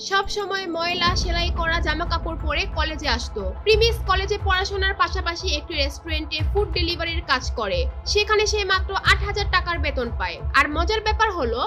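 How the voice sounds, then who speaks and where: A woman delivers dramatic scripted story narration in an extremely high voice. She is bright and quick, with faint music underneath.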